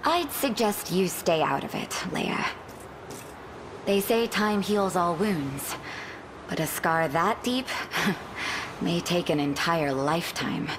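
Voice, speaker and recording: Deep-voiced, worldly lady general. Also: lively intonation, noisy background. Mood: wry. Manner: wry, cool, measured. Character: worldly, proud noblewoman, former enemy general. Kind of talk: video game voice acting.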